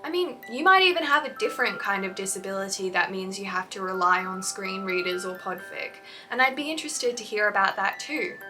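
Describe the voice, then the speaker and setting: A woman's voice: mid-range voice, expressive, fairly quick, few pauses, distant mic, music in the background. A young woman YouTuber giving a scripted, earnest and encouraging explainer about fanfiction.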